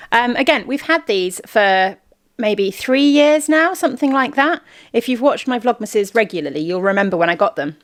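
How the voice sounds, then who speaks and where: Chatty woman vlogger explaining things. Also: fairly high pitch. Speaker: vlog host. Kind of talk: casual family vlog chat.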